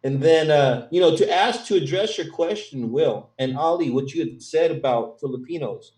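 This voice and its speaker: A Filipino American man from the United States, a guest telling relaxed stories in a casual interview. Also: fairly high pitch, moderate pace. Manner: easygoing storyteller. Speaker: guest, stunt performer. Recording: echoey room, distant from mic.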